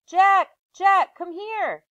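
A woman doing a squealing excited little girl. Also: extremely slow, no echo, highs cut off, heavily acted. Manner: squealing, high and eager. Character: excitable little girl, seven years old.

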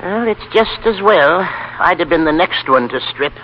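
An actor plays an easygoing old grandfather in a 1930s radio comedy. He is dry and folksy, with a high, lively voice. The sound is muffled. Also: moderate pace, slight background noise, close to an everyday voice. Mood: dry, amused. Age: older.

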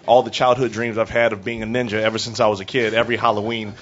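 Nervous excited young man, rambling answers. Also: fairly low pitch, fast. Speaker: fighter at press conference. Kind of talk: press conference answers. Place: press conference stage. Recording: dull lo-fi press audio with faint noise.

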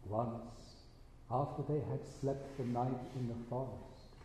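A man narrating a fairy tale for a stage performance, with measured, deliberate phrasing and pauses. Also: medium pitch, steady tone, warm tone. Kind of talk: fairy tale storytelling for a eurythmy stage show. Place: large indoor stage hall with an audience. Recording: very echoey hall, distant, faint noise.

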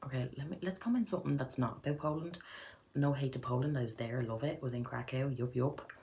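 A woman's voice: extremely low pitch, fast talker, vocal fry, darkish tone, slight background noise. A young Irish woman at home, chatting playfully through a reaction video with whispered asides. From Ireland. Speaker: YouTuber. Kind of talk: casual reaction commentary. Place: indoors at home.

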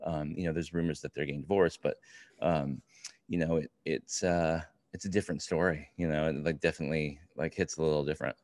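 A middle-aged man co-hosting a podcast, chatting casually in a halting, rambling way. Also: extremely low pitch, fairly even intonation, darkish tone, dry recording, studio quality. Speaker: podcast co-host. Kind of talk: casual book review chat.

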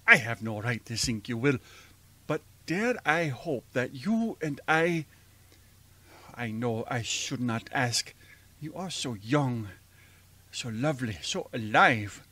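An actor playing a gentle, earnest older professor with a European accent and halting, formal speech in a radio drama. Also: male voice, mid-range voice, dry recording, heavily acted. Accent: foreign European. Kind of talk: old-time radio drama acting.

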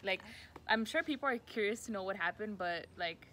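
A woman in her twenties chats casually as a vlog co-host at a medium pitch. Her talk is slangy, with Spanish mixed in. Light outdoor noise sits behind the recording. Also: animated, normal speed, pushed and bright. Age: 20s. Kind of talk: casual chat answering questions. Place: outdoors by a parking area. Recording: faint outdoor ambient noise.

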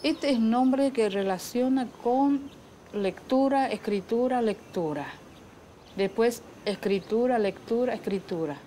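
A middle-aged woman, recalling her life conversationally and haltingly, searching for words. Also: fairly high pitch. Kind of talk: conversational personal recollection. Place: leafy patio, outdoors.

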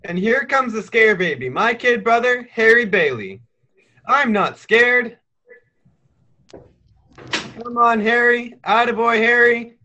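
Twelve-year-old boy, teenage male actor: very high, bright, forceful voice, cocky yet polite, radio play delivery. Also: slow pace, long pauses, highs cut off, heavily acted. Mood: excited.

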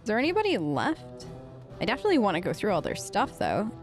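A woman's voice: mid-range voice, wildly swooping pitch, quick pace, faint music behind. A woman hosting a role-play let's play, chatting casually in character and musing aloud as she goes. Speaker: let's play host, role-playing her game character. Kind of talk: casual in-character game commentary.

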